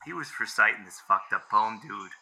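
Anxious, swooping-voiced young boyfriend. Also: male voice, lowish voice, animated, moderate pace, sharp tone, a little hiss or hum, full character voice, rough recording. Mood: uneasy. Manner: stammering, profane, agitated. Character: anxious, exasperated boyfriend searching for his girlfriend. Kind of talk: found-footage audio drama acting.

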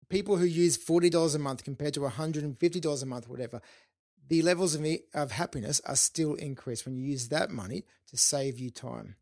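A man with a fairly high voice talks through his podcast episode on his own, upbeat and informal. He sounds slightly hoarse, as if losing his voice. The sound is dry and close to the mic. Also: dry recording. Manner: upbeat, informal, with fillers and restarts. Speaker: podcast host. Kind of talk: solo podcast monologue.

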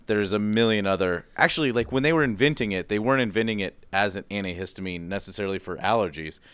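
A man with a fairly low, clear voice chats as a podcast co-host. He explains things casually and loosely, with mild slang and a self-deprecating streak. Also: fast, fairly bright tone. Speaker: podcast co-host presenting research.

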